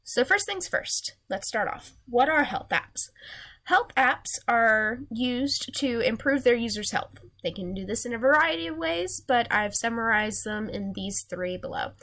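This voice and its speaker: A woman's voice: fairly high pitch, lively intonation, a little slow, fairly bright tone. A young woman with a nasal voice, just over a sinus infection, earnestly giving a recorded class presentation from her slides. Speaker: student presenter.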